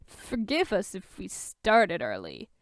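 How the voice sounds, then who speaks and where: A woman's voice: mid-range voice, huge pitch swings, slow, dry recording, heavily acted. A woman voicing a playful, teasing billionaire man with a sarcastic, goading way of talking, in a fan fiction reading. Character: playful, teasing, hard-partying billionaire man, smirking wisecracker.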